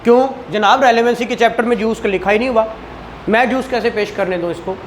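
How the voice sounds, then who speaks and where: A woman's voice: on the high side, quick pace, a little hiss or hum. A woman with a Pakistani accent lecturing a class on law, conversational, asking questions as she explains and switching into English terms. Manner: conversational, frequent rhetorical questions and acted-out dialogue.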